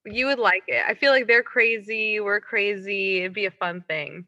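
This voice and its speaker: A woman's voice: mid-range voice, normal speed, pushed and bright. A woman co-hosting a podcast, chatting casually on a slightly dull recording. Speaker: podcast co-host, improviser. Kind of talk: casual chat.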